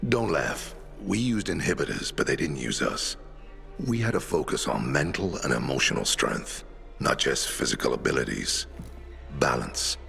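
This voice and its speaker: Very deep, gravelly old survivor leader. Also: male voice, sing-song, moderate pace, bright, forceful tone, soft background music, exaggerated voice. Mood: earnest, solemn. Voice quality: gravelly, rough. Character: weary older male veteran leader of a survivor group. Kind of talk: video game cutscene acting.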